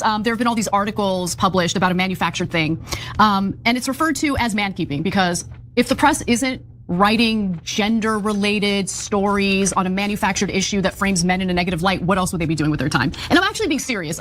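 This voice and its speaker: Female video commentator, mid-pitched, sarcastic and dry, faint noise underneath. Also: expressive, fast, fairly bright tone. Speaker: online commentator.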